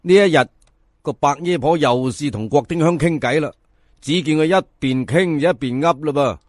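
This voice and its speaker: A man's voice: fairly high pitch, normal speed, dry recording, studio quality. A man with a Cantonese accent telling a folk tale in colloquial, dramatic narration, speaking to listeners in a conversational way. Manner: expressive, conversational asides to listeners. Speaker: storyteller.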